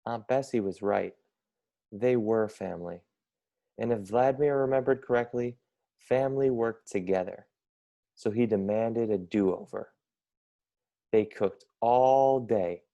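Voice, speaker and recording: Casting: young male storybook narrator, dark mellow tone with noticeable vocal fry, measured pace. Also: fairly low pitch. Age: young adult. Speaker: storybook reader, college student. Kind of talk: scripted children's story narration.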